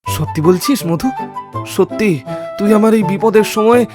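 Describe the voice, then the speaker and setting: A poor, honest cart-puller with a high, pleading voice and a sorrowful edge, as a man acts him out. He speaks in an animated storybook over loud background music. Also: normal speed, lightly acted. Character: poor honest male cart-puller, young adult, downtrodden.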